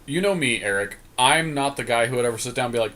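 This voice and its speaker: A man's voice: mid-range voice, lively intonation, fast, fairly bright tone. A man co-hosting a podcast, chatting casually and thinking aloud in informal slang as he talks through a card game draft. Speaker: podcast co-host.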